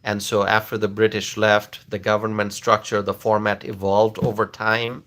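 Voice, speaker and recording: Man, earnest and bright-voiced. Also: lowish voice, little pitch movement, normal speed. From Bangladesh. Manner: earnest, lecturing, many fillers and restarts. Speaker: political commentator answering viewers. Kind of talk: casual livestream talk.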